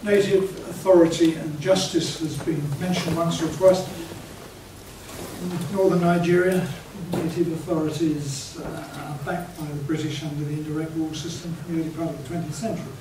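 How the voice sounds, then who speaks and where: A man on an academic panel speaking in a measured, scholarly way. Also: on the high side, fairly even intonation. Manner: measured, scholarly, clears his throat. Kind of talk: academic round-table discussion. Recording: echoey hall, distant mic, background noise.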